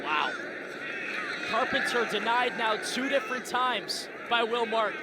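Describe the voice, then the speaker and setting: A man's voice: very high-pitched, a little slow, a crowd in the background. An American man giving live lacrosse analysis as color commentator, with colorful, emphatic phrasing. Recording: very loud game noise behind commentary.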